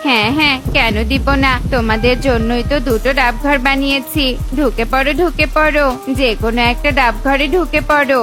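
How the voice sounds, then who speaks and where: A woman's voice: sits high, fairly even intonation, fairly quick, hardly any pauses, music in the background, lightly acted. A woman voicing a kind, earnest young brother bird in a children's cartoon. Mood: welcoming.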